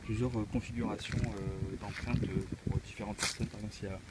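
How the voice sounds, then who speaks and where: A man's voice: on the low side, fairly even intonation, a little fast, very noisy. A man with a cold giving a casual, hesitant unboxing walkthrough as a tech reviewer, with many filler sounds. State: has a cold.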